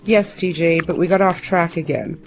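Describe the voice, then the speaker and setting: An actress playing a deferential but candid woman hacker in an audio drama, careful and quietly firm. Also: lowish voice, fairly even intonation, moderate pace, faint music behind, natural voice. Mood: wary, deferential.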